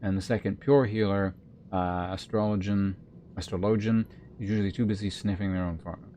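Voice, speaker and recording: A man's voice: lowish voice, fairly even intonation, brisk, warm tone. A man chatting and commentating on a live game stream in a rambling, wry way, with faint noise on the recording.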